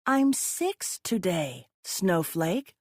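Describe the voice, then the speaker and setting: An eager, friendly little girl of six speaks in a children's storybook, voiced by a woman reader. The delivery is earnest and simple, with animated pitch and a slow pace. The sound is dry and close. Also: dry recording, full character voice. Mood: excited. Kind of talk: storybook character dialogue.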